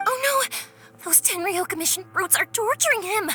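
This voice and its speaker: A woman's voice: very high voice, animated, normal speed. A woman voicing a cheerful, spirited young fireworks maker in an anime game, friendly and brave, with a breezy, colloquial manner. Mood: distressed. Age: young adult. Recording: music behind.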